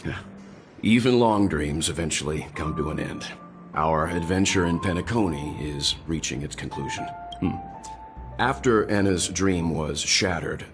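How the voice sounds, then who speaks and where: A calm, wise older mentor from a video game, with an extremely deep voice. He explains things gravely and thoughtfully, with music playing behind him. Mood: reflective. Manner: measured, thoughtful exposition.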